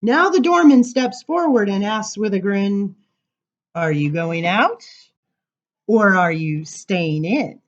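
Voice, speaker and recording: A woman reading children's poems aloud at story time, informal, with dramatic emphasis and drawn-out words. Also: mid-range voice, animated, muffled recording. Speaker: library story time reader.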